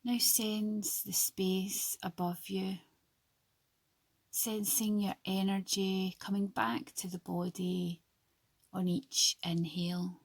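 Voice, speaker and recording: Slow, breathy woman guiding sleep meditation. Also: mid-range voice, little pitch movement, no room sound, rough recording. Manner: soothing, hushed, with long pauses between short phrases. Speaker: yoga nidra guide.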